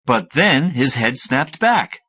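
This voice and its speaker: A man reading first-person fiction as an audiobook narrator, in an expressive scripted style. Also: medium pitch, slow pace, breathy edge.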